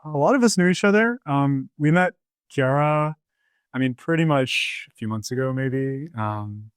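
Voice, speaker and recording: A man's voice: mid-range voice, animated, a little slow, smooth, no room sound. A young man from Texas, a researcher guest introducing himself casually. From Texas, United States. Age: young adult.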